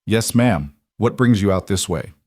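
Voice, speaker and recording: A stubborn, gruff rancher, as the narrator plays him with a low voice and a clipped delivery. The words come out short and rough, and the sound stays dry and close. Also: male voice, animated, a little slow, a little mellow, no room sound. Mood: guarded. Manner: terse, rough with emotion.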